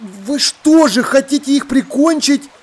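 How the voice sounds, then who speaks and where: An honest, simple seaman from an adventure audiobook, played by a man. He speaks in a raised, high voice, anxious and insistent, pressing for answers. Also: normal speed, a little mellow. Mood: horrified. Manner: insistent, questioning. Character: anxious, simple honest sailor demanding the truth. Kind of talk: acted audiobook dialogue.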